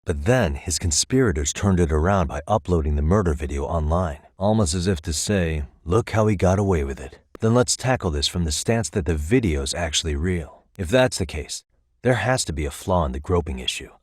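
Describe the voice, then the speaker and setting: An American man voicing a level-headed, thoughtful detective in a video game, with measured, articulate delivery as he reasons aloud. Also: low-pitched, animated, slightly rough, no echo, clean studio sound. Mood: analytical, serious. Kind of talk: scripted game dialogue.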